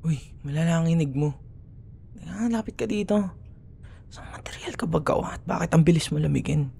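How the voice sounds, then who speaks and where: Man acting as young male Filipino school rival, gravelly fry, sarcastic bickering, secretly caring, ASMR roleplay, faint rumbly background noise. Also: moderate pace, mellow. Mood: concerned, teasing. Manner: sarcastic, casual bickering with sighs and murmured asides.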